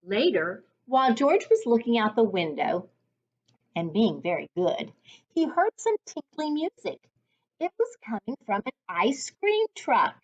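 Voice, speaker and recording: A woman reading a children's storybook aloud in an expressive, deliberate storytelling manner, as a reading coach would for young children. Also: on the high side, highs cut off.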